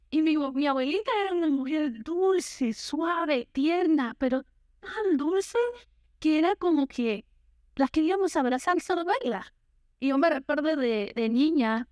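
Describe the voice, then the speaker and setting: A woman's voice: high-pitched, smooth, no room sound, clean studio sound. A young woman from Guatemala, preaching a sermon and telling her own story, slipping in English words. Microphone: handheld or headset mic, unfamiliar to her.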